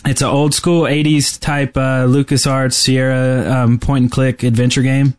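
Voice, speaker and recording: A man co-hosting a podcast in casual chat, rambling and halting as he talks. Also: medium pitch, level tone. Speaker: podcast co-host. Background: music.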